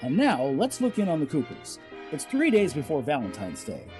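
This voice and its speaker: A man narrating as the announcer of an old-time radio comedy, with a husky voice. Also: fairly high pitch, wildly swooping pitch, normal speed, darkish tone, soft background music.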